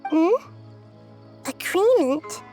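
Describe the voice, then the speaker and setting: A woman voicing a small, wise, gentle nature deity in a video game, soft, youthful and thoughtful. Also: very high-pitched, lively intonation, heavily acted. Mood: concerned. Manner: thoughtful, kind, measured. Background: game music.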